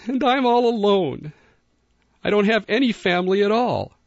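A man voicing a small, lonely young male bunny who talks in a high, pleading, sobbing way. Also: very animated, measured pace, no room sound, muffled recording, full character voice. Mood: tearful.

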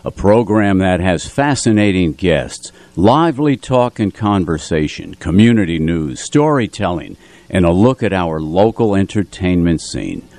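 A man's voice: deep voice, big pitch swings, measured pace, darkish tone, dry recording. A man working as a radio announcer and co-host, chatting casually and effusively in a studio, often repeating himself for emphasis. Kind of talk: casual radio chat and show introduction. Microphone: studio radio microphone.

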